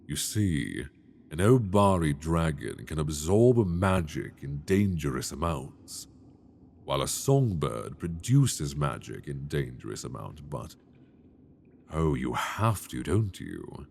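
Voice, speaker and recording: A man voicing a towering, ancient, possessive male dragon in a binaural ASMR roleplay, talking slowly and intimately, coaxing with an edge of menace. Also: extremely low pitch, big pitch swings, gravelly, a little mellow, slight background noise, exaggerated voice. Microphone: binaural mic.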